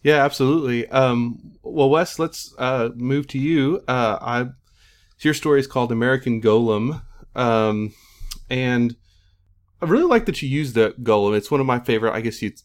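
Tentative male moderator, medium pitch. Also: moderate pace. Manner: tentative, meandering questions with self-corrections. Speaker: panel moderator, editor. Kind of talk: casual interview moderation.

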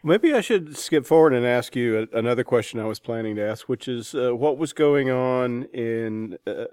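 A man hosting a casual interview, asking questions in a tentative, halting way. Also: medium pitch, expressive, a little mellow, clear, resonant tone, no echo, studio quality. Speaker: interviewer, host.

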